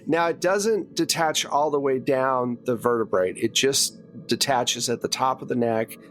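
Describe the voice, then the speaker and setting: Slow-talking man running a tabletop game. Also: medium pitch. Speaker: game master. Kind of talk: narrating a tabletop horror roleplaying game. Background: background music.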